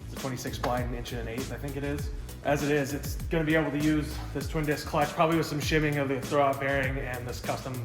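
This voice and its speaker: A man's voice: medium pitch, steady tone, fast. A man who builds cars, explaining his work in casual, unscripted shop talk, offhand and unsure of details. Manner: offhand, self-deprecating, hedging on details. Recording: roomy echo with prominent background music. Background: clear background music.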